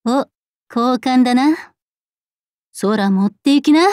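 A woman plays a scruffy, tomboyish gunner from a game. The voice is high and unhurried, with rough, offhand, boyish phrasing. It is recorded very dry. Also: darkish tone, dry recording. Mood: offhand, breezy. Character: scruffy tomboyish gunner minding shop, blunt and laid-back. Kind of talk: Japanese game character voice acting.

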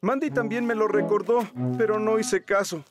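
Man as high-voiced apologetic cartoon dad. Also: fairly even intonation, moderate pace, natural voice. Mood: sheepish, regretful. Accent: Latin American Spanish. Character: apologetic, well-meaning bumbling handyman dad. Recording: music underneath.

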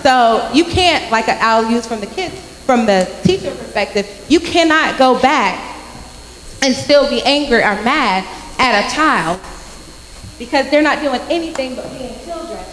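High-voiced woman preaching in an echoey church. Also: a little slow. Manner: animated storytelling, acting out quoted voices. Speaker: youth speaker. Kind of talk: church youth message. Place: large church sanctuary with congregation. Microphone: handheld microphone. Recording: echoey sanctuary from a far camera.

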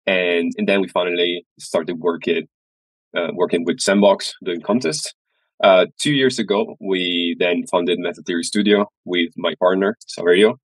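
Very deep-voiced man. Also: steady tone, moderate pace, no echo, poor audio. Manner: hesitant, many uh fillers and repeats. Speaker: guest, studio founder. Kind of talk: casual interview. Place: small room indoors, video call. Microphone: headset.

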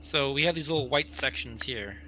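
A man's voice: medium pitch, fairly quick, sharp tone, rough recording. A man chatting casually and asking questions as a player in a tabletop game.

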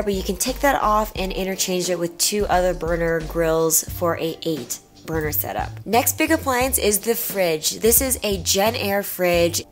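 A woman's voice: medium pitch, a bit bright. A young woman vlogger giving an upbeat, chatty tour of her remodeled kitchen, talking straight to viewers. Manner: upbeat, chatty, addresses viewers as "you guys". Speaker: home and lifestyle vlogger. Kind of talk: casual home tour with sponsored segment. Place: home kitchen, indoors.

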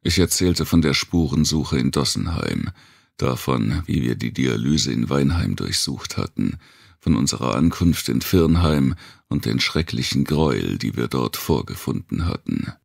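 A man narrating a post-apocalyptic thriller audiobook in the first person, with a measured, deliberate delivery and dramatic pauses. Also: very deep voice, lively intonation, vocal fry, slightly rough, no echo, studio quality.

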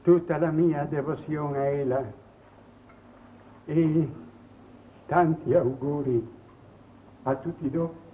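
Slow, obsequious gentleman. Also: on the high side, fairly even intonation. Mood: deferential. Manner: formal, flowery, deferential. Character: ceremonious, obsequious gentleman guest, meek and devoted. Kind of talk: 1950s Italian television drama acting. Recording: dull, faintly noisy 1950s TV soundtrack.

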